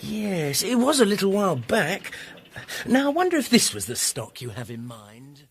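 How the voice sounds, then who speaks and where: Casting: polite shopman in a radio mystery, deferential and chatty, higher voice with lots of movement. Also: huge pitch swings, moderate pace, a little hiss or hum, heavily acted. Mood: obliging. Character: obliging, deferential male stationery shop assistant. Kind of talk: British radio drama acting.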